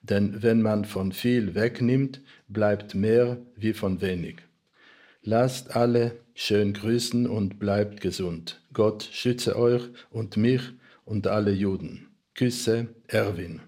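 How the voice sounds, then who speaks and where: A man reading a personal letter from a script in a measured, restrained manner. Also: lowish voice, fairly even intonation, mellow. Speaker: reader of historical letters.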